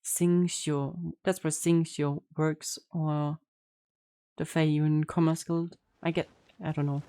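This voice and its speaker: Low, mellow-voiced woman streamer. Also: flat delivery, slow. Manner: informal, joking, thinking aloud. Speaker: game streamer. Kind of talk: casual reaction commentary during gameplay.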